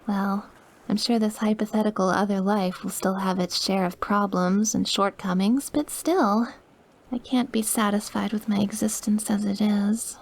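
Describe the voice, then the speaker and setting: A woman playing a sweet, awkward, wistful young woman who is dying and quietly obsessive, in a soft, hesitant, intimate ASMR roleplay. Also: medium pitch, steady tone, moderate pace, close to an everyday voice. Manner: soft, hesitant, gushing, at times dropping to breathy murmurs. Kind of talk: ASMR audio roleplay, intimate one-sided dialogue.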